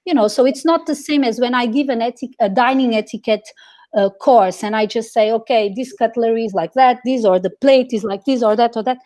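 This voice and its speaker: A woman from Portugal, an etiquette consultant, chatting on a video call with lively restarts. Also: fairly high pitch, a little fast. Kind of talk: casual video call chat.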